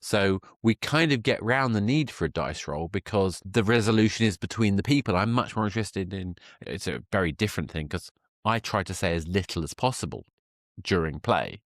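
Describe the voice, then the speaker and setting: A man's voice: low voice, expressive, brisk, dry recording, studio quality. A man hosting a podcast, speaking in a conversational interview style. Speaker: podcast host. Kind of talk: interview talk.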